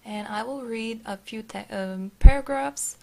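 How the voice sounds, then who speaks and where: A woman from Serbia with a Serbian accent, reading a text aloud and translating it, halting as she searches for words. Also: a little high, creaky, warm tone. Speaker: video narrator.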